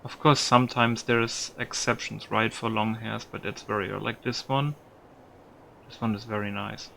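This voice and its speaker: A man talks through a video game in a casual, musing way. His voice is bright and forward with a creaky, gravelly edge. There is faint noise behind him, and he swears lightly now and then. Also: on the low side, fairly even intonation, moderate pace. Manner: musing aloud, mildly profane. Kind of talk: casual gameplay commentary.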